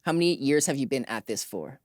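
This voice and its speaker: A woman's voice: a bass voice, lively intonation, brisk, darkish tone, no echo, clean studio sound. A woman hosting an interview in a casual, informal way, often affirming with short repeated acknowledgements. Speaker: interview host.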